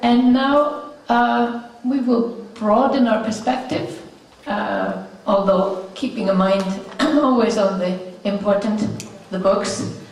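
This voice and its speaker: A woman with an Icelandic accent delivers a prepared conference talk in a measured way, often pausing on "uh." Her voice sits a little high. It rings through a very echoey hall and is picked up from some distance away. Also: a little mellow. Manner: measured, pausing with frequent uh fillers. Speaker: conference speaker.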